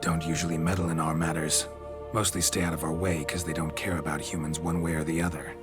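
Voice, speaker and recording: Gruff stoic monster slayer for a game, real low voice, ish deadpan, music under it. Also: male voice, fast talker. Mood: dry, grave. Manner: clipped, deadpan, drops sentence openings. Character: stoic gruff male monster hunter, weary and matter-of-fact. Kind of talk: video game voice acting.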